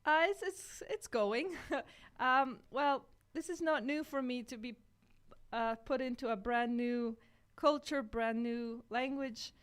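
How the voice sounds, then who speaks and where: A woman's voice: high voice, takes it slowly, clean tone. A woman from Poland whose first language is Polish, co-hosting a radio podcast and explaining things earnestly with some hesitation. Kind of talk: casual chat.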